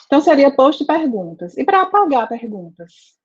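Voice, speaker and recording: A woman's voice: high voice, big pitch swings, moderate pace. Brazilian lady teaching coding, chatty, keeps asking the class, kinda dull lo-fi sound. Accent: Brazilian Portuguese. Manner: conversational, frequent "né?" and "tá?" checks. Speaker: programming teacher. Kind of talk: live online class, asking students questions. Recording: slightly dull lo-fi audio.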